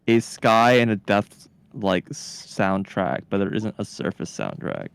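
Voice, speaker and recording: A man's voice: lowish voice, big pitch swings, measured pace, vocal fry, clear, resonant tone. A man chatting casually as a game streamer, rambling and hesitant, full of his own opinions. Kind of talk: casual chat over gameplay.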